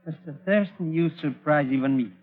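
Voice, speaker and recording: A man plays an eager, flattering comic sidekick in a radio drama. His high voice swoops about in stilted, excitable phrases. The old recording is tinny. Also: normal speed, lightly acted. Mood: familiar, teasing. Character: eager, ingratiating comic foreign hanger-on. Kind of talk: 1940s radio acting.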